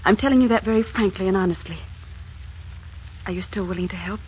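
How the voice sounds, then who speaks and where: An actress playing an earnest, troubled young heroine with a secret, in theatrical 1940s radio style. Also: female voice, medium pitch, quick pace, a little mellow, husky. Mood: earnest, guarded. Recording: dull, slightly noisy 1940s radio recording.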